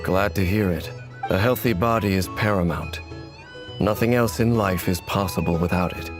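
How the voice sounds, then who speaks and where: A woman voicing a grave, reserved fantasy warrior in a video game, with measured, formal speech. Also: extremely low pitch. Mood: calm, solemn. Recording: loud background music.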